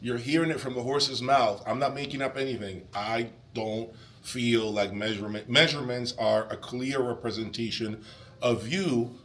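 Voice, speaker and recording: A man's voice: medium pitch, steady tone, normal speed, a bit bright. A man hosting a casual video interview, talking to his viewers in a conversational, opinionated way. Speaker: host interviewer of an audio channel.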